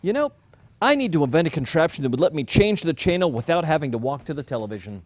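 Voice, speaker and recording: A man's voice: a little high, big pitch swings, fast. A man playing an easygoing, joking and slightly insecure 1950s working husband in a staged play reading. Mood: playful. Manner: joking, folksy.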